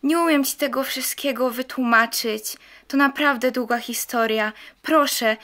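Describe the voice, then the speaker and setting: Young woman as a high-voiced pleading temptress. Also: measured pace, a bit bright. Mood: coaxing. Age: young adult.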